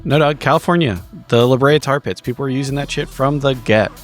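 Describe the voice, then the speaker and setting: A man's voice: mid-range voice, fairly quick. A man with a wry, wordy, articulate way of talking, narrating like a tabletop roleplaying podcast player. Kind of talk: casual banter and narrated session recap. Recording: music behind the voice. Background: music.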